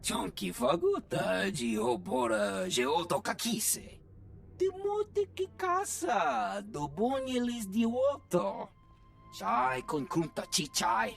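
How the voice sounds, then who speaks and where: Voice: medium pitch, very animated, slow pace, gravelly, faint music behind, full character voice. An actor voicing an alien video game character who talks theatrically in an invented tongue. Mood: animated.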